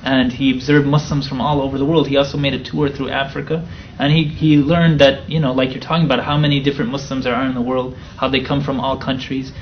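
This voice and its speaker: A man in his thirties from the Chicago area, a guest telling his story in a soft-spoken, thoughtful way. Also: medium pitch, level tone, fast talker, few pauses. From United States (near Chicago). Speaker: interview guest. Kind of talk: relaxed storytelling interview. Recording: slightly dull sound with faint noise.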